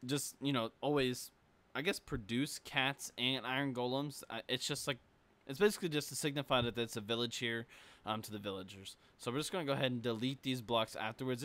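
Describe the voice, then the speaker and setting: Young guy w a sore throat explaining a game build, kinda rambly, clear bright voice. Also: mid-range voice. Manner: casual, rambling, lots of fillers. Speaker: gaming tutorial creator. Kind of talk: casual game tutorial voice-over.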